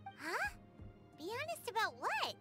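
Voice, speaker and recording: A woman's voice: extremely high pitch, wildly swooping pitch, unhurried, full character voice. A woman voicing a tiny, cheeky, childlike fairy companion in a video game. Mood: smug, curious. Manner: childlike, third-person self-reference, exclamatory. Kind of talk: English game voice acting. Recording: loud music under the voice. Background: music.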